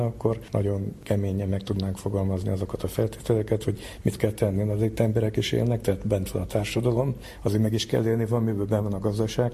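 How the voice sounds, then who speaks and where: A man's voice: on the low side, dark tone, slight background noise. A middle-aged or older man, an academic guest, explaining things informally in a plain-spoken way. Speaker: academic scientist, interview guest.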